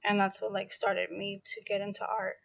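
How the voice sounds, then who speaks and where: A woman's voice: mid-range voice, level tone, moderate pace, gravelly, fairly bright tone, no echo. A young adult woman from New City, chatting casually as a podcast guest and filling her talk with "like" and restarts. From New York City. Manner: chatty, many "like" fillers and restarts. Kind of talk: casual interview conversation.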